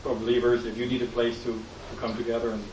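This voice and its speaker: A man's voice: mid-range voice, completely flat delivery, fast. A middle-aged man with a German accent speaking earnestly on a panel, rambling and restarting his sentences. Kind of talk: panel discussion. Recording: roomy, distant mic, noisy.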